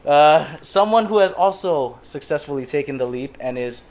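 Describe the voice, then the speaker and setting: A man's voice: fairly high pitch, lively intonation, moderate pace, darkish tone. A young man hosting a podcast in a casual, jokey way, often calling his guest "bro". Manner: laid-back, jokey, calls guest "bro". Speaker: podcast host. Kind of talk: casual chat.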